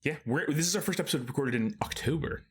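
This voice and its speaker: A man's voice: on the high side, quick pace, slightly rough. A young man from Texas co-hosting a podcast, chatting casually with his brother, easygoing and full of fillers and restarts. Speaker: podcast co-host. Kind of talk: casual chat between brothers.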